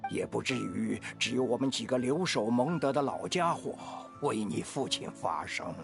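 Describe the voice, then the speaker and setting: An actor playing a kindly, steadfast old veteran knight in a video game, with an aged, gravelly voice and an expressive manner. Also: male voice, mid-range voice, moderate pace. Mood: regretful. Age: older. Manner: expressive, sighing. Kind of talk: Chinese video game voice acting. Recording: music behind the voice.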